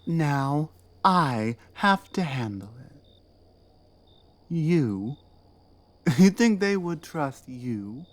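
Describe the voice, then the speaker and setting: A woman performs a commanding orc queen in an intimate ASMR roleplay. Her low voice moves slowly and swings widely, sliding from imperious, sardonic challenge into teasing murmurs meant for her prisoner. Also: full character voice. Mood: stern, impatient, then mocking disbelief. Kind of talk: ASMR roleplay, addressing the listener.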